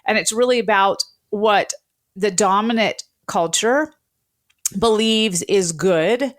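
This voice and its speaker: A woman's voice: mid-range voice, slow. A woman, speaking as an interviewer and linguist who explains ideas in an articulate, teacherly way. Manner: articulate, didactic.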